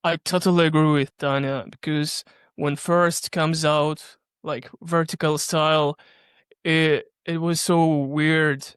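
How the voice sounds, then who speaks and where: Male guest, upbeat and stumbling, smooth voice a bit high. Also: slow, no room sound, studio quality. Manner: animated, stumbling, asks fellow guests questions. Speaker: actor and interview guest. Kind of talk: casual interview chat.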